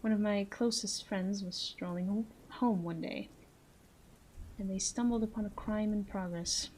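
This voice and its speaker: A woman playing a flirty, menacing female mob boss in a roleplay audio drama, teasing and deliberate, with breathy asides. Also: medium pitch, fairly even intonation, a little mellow, slight background noise, close to an everyday voice, rough recording. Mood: smooth, businesslike.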